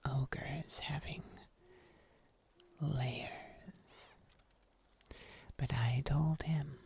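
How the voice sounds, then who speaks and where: Seasoned fantasy huntsman, played by an ASMR performer: hushed breathy whisper, mellow, very unhurried storytelling. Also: medium pitch, a little hiss or hum. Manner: slow, deliberate, hushed storytelling with long pauses.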